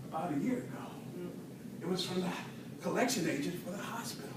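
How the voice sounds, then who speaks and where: A man delivering a humorous tall-tale speech to an audience in a theatrical way, with dramatic pauses and swells. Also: high-pitched. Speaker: speech contest storyteller at a Toastmasters club. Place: indoor room with an audience. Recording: roomy, distant mic, faint noise.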